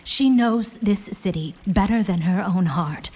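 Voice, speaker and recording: A polite young war hero in a fantasy radio play. Her voice is husky and slightly breathy. She picks formal words with care and keeps her guard up. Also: medium pitch, unhurried, a little mellow. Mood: guarded pleading. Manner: careful, courteous, formal. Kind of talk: fantasy audio drama acting.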